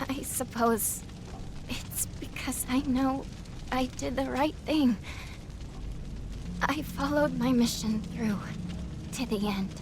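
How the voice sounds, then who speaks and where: A woman's voice: sits high, background noise. An actress voicing a young female ninja of a clan, earnest and dramatic, in a game cutscene. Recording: music playing behind.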